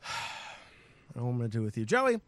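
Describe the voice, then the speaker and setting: A man hosting a gaming podcast in an upbeat, hype-building way, his voice hoarse and strained. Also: medium pitch, fairly quick, dry recording. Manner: energetic, hypes up the audience. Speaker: podcast host.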